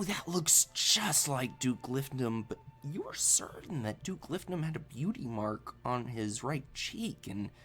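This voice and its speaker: A man running a tabletop game, improvising narration in a halting, conversational way. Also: fairly high pitch, gravelly, fairly bright tone. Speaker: game master.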